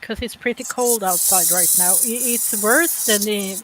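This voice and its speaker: A woman chatting casually, hesitant and often pausing to search for words. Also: medium pitch, noisy background. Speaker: chat participant. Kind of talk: casual chat.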